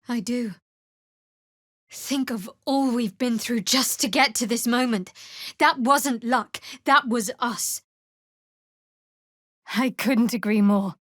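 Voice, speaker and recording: A woman playing a guarded, devout young cleric of a dark goddess in a fantasy video game, speaking in a measured, dry, slightly wry way. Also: on the high side, no room sound. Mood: earnest, reflective. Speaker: video game character voice actor. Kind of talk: scripted fantasy game dialogue.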